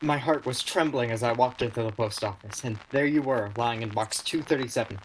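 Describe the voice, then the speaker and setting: A man plays an earnest, smitten young shop clerk for radio. He speaks haltingly at a middle pitch. The recording has clear noise and clicks. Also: natural voice, rough recording. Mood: smitten, confiding. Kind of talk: radio play acting.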